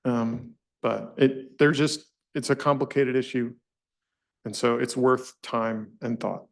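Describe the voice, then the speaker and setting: A man's voice: medium pitch, fairly even intonation, takes it slowly, creaky. A man at a public commission meeting, talking through a complicated issue in a thoughtful, hedging way. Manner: thoughtful, self-questioning, hedging. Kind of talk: deliberative public meeting remarks.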